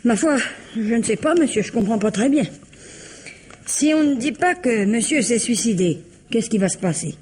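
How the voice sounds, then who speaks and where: An actress playing a deferential, simple household servant in a French radio drama, speaking hesitantly and plainly with an accent from France. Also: female voice, a little high, lively intonation, normal speed, darkish tone, slightly rough. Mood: unsure, deferential. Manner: hesitant, plain-spoken, respectful.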